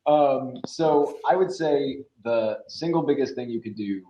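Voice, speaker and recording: A man giving casual advice as a podcast guest, speaking informally and somewhat haltingly. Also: medium pitch, dark tone. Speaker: podcast guest, audio drama creator. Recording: roomy, dull lo-fi audio.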